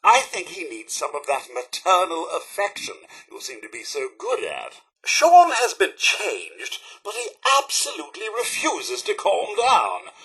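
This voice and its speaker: An actor playing a fussy, loyal robot butler in a video game, with a posh, theatrical British manner and formal servant speech. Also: male voice, high-pitched, wildly swooping pitch, unhurried, creaky, hardly any pauses, pushed and bright, husky, full character voice, rough recording. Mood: politely fussy. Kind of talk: video game character voice lines.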